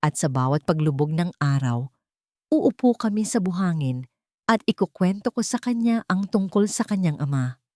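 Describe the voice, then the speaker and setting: A Filipino woman narrates a dramatic first-person story in a scripted audiobook style. Her voice sits fairly low yet moves widely in pitch, and the recording is close and dry. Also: lively intonation, moderate pace, a little mellow, dry recording.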